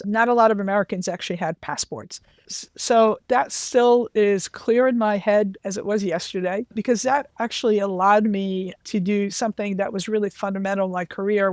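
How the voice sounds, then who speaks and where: A woman's voice: medium pitch, a little mellow, no room sound, clean studio sound. A woman in her sixties who grew up in Lebanon, telling career stories in an interview with self-deprecating asides. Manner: anecdotal, self-deprecating, with frequent asides to the host. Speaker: interview guest, finance executive. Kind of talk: candid career storytelling.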